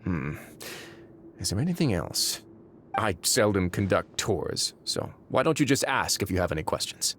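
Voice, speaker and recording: A deep-voiced, wry prison warden. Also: male voice, very animated, moderate pace, a bit bright, a little hiss or hum. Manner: smooth, courteous, wryly sarcastic. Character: suave, dry-witted male prison warden. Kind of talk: game voice acting.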